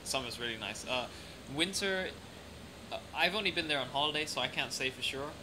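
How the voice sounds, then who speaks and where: A man's voice: mid-range voice, fairly quick. A young adult man with a mixed international, lightly British accent, chatting casually as an interview guest. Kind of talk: casual chat. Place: café or restaurant at night. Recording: clearly noisy room ambience.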